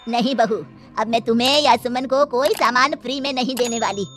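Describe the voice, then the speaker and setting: A woman's voice: fairly high pitch, a little fast, a bit bright, faint music behind, natural voice. A woman voicing a kindly, long-suffering old female shopkeeper in a cartoon story. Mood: firm, reproachful.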